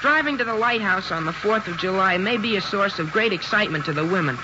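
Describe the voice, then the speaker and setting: A man's voice: high voice, expressive, quick pace. Earnest, indignant teenage boy, muffled recording. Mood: lofty. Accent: American. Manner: impassioned, boyishly pompous. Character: earnest, indignant, dramatic sixteen-year-old American boy. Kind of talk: 1950s radio drama acting. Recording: muffled, noisy old radio broadcast.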